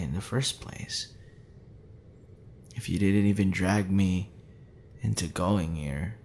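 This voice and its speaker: A man's voice: deep voice, a little mellow, a little hiss or hum, exaggerated voice. A man playing a drunk, flustered young man with a tender streak, stammering and rambling in an intimate ASMR roleplay. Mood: tender, wistful. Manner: stammering, halting, tipsy rambling with breathy sighs.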